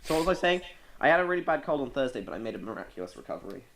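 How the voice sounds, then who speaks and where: A teasing British schoolboy nattering through a story with friends on an amateur podcast, mid-range voice. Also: male voice, mid-range voice, very animated, very fast, creaky, a bit bright. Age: teens. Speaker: podcast co-host. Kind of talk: casual chat.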